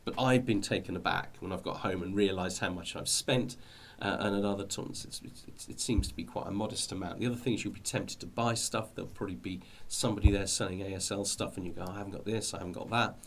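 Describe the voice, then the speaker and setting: A middle-aged British man with a fairly low voice speaks as an informal video host. He explains things in a rambling, hesitant way, often doubling back on his words. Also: fairly even intonation, fast talker, few pauses, a little hiss or hum. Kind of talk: informal explanatory talk to viewers.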